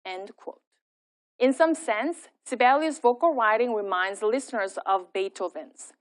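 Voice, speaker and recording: A woman giving a scripted academic lecture, reading her prepared text in a measured way. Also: fairly high pitch. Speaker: lecturer and singer.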